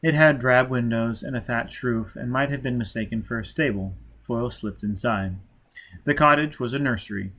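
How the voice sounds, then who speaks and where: A man's voice: medium pitch, normal speed, a bit bright. A man reading a novel aloud as scripted audiobook narration. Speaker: audiobook reader.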